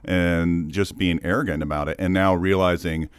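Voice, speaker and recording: A man's voice: low voice, steady tone, normal speed, clean tone, no echo. A man in his forties who grew up in the Netherlands with Dutch as his first language, a podcast guest talking in a thoughtful, rambling way with restarts. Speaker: podcast guest, corporate communications leader. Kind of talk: casual interview chat.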